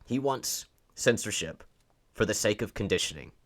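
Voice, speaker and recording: A man's voice: fairly low pitch, expressive, normal speed, fairly bright tone. A man giving opinionated, off-the-cuff political commentary, emphatic and sardonic, stressing words for effect and posing rhetorical questions. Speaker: online commentator.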